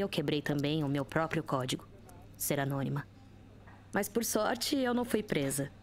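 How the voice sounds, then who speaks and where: An actress playing a cool, blunt young hacker in a Brazilian audio drama, matter-of-fact and assertive. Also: female voice, mid-range voice, slight background noise, natural voice. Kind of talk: narration and audio drama acting.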